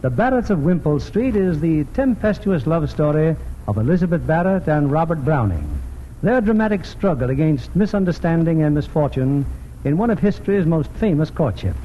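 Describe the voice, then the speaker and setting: A man's voice: fairly high pitch, lively intonation, moderate pace. A man with a polished, formal manner hosting a 1940s radio theatre in scripted announcer style. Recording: dull, noisy 1940s radio broadcast.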